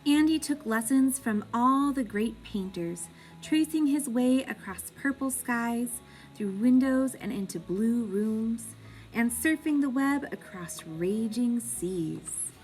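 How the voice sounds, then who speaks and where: A woman reading a children's picture book aloud in an expressive, deliberate way, like a teacher with young pupils. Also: a little high, faint music behind, low-quality recording.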